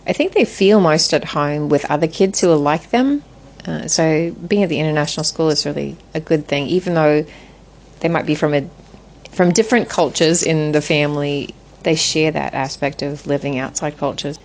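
A woman in her forties, a guest in an interview, talking in a thoughtful, measured way with frequent restarts. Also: low-pitched. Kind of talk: reflective interview conversation.

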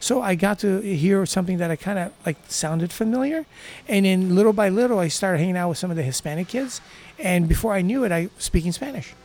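A man's voice: high-pitched, brisk, a little mellow. A man in his fifties from Brazil, native speaker of Portuguese, chatting enthusiastically as an interview guest with surfer slang. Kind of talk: casual interview chat.

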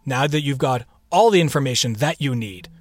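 A man's voice: medium pitch, animated, brisk. A man hosting a podcast with a playful, theatrical manner, chatting his way through a scripted introduction. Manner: playful, theatrical, with whispered echo-like repeats and asides. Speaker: podcast host.

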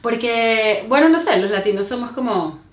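A Venezuelan woman in her thirties, a guest in a casual interview, talking with many fillers, pauses and restarts. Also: a little high, lively intonation, reverberant.

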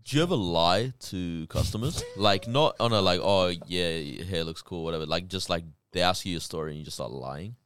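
A man's voice: sits low, fairly quick, hardly any pauses, clear, resonant tone, no room sound. A man from Iraq chatting casually as a podcast co-host, telling anecdotes in slangy language and acting out the dialogue. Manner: animated, slangy storytelling with acted-out dialogue. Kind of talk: casual banter and anecdotes with friends.

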